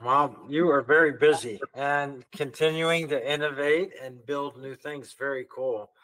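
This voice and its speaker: A man's voice: medium pitch, fairly even intonation, unhurried, a bit bright, rough recording. A male podcast host asking curious, encouraging questions in an interview.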